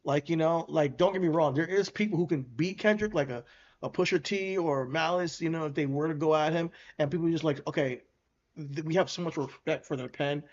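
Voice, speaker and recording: A man co-hosting a podcast, speaking in a measured, hesitant way as he thinks aloud in a casual debate. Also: a little high. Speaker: podcast co-host. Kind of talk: casual debate about rap. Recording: dull lo-fi audio.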